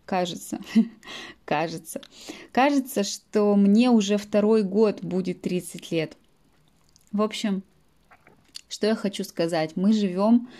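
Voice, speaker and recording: Mellow-voiced woman explaining slowly to learners. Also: mid-range voice. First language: Russian. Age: late 20s. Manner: explains words in simple terms, with frequent soft fillers. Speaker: Russian language teacher. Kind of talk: podcast monologue for language learners.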